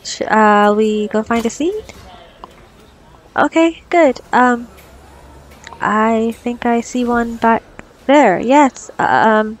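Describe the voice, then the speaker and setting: A woman's voice: a little high, slow, long pauses. A woman playing a flustered, awkward young doctor on a date in an ASMR roleplay, stammering nervously and self-consciously. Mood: shy, flustered.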